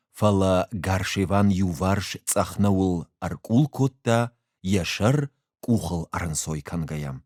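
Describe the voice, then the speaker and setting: A man with an Ossetian accent reads a story as an audiobook, measured and expressive in his delivery. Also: fairly low pitch, no echo, studio quality. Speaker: actor reading a short story. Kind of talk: audiobook narration with acted dialogue.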